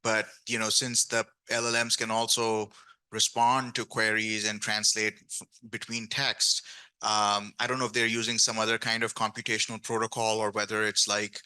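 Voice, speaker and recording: A man talks on a conference panel in a bright, ringing voice. He hesitates often, restarts his sentences and fills the gaps with "like" and "um". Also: on the low side, flat delivery, normal speed, clean tone, low-quality recording. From grew up speaking Urdu. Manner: hesitant, many restarts and fillers. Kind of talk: panel discussion.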